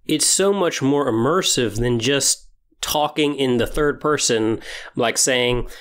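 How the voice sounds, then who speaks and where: A man hosting a podcast in a semi-scripted monologue, explaining things in an earnest, measured way with emphatic pauses. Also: medium pitch. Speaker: podcast host. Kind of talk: semi-scripted podcast monologue about tabletop role-playing.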